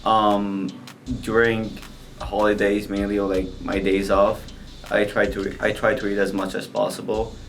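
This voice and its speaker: A man's voice: lowish voice, completely flat delivery, normal speed, fairly bright tone, soft background music. A nineteen-year-old man, a student, answering earnestly and hesitantly with many ums. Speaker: student interviewee. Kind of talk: casual street interview.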